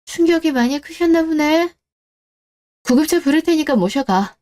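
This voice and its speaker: Radio drama wife, played by a woman: cold, sarcastic, unyielding, fairly high voice, standing her ground. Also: expressive, normal speed, darkish tone. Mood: resolute. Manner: firm, sarcastic. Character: cold, resolute, fed-up wife standing up for herself. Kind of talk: melodramatic radio drama dialogue.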